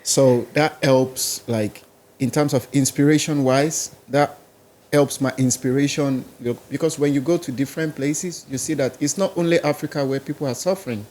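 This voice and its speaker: A man's voice: mid-range voice, moderate pace, a little mellow. A man with a Nigerian accent, a musician guest in a podcast interview, talking in a rambling, casual way. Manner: rambling, casual, listing places and languages.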